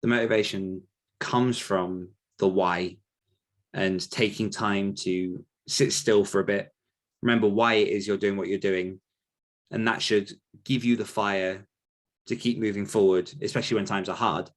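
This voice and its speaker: A man's voice: on the low side, steady tone, a little slow. A man talking casually as a podcast host, rambling a little as he thinks aloud while answering questions. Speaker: podcast host and author coach.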